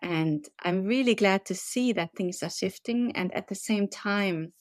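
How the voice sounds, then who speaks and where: A woman from Germany, a resilience trainer, giving earnest, measured answers in a podcast interview. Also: mid-range voice, dry recording, clean studio sound. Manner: earnest, measured, gently emphatic. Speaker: resilience and leadership trainer, guest.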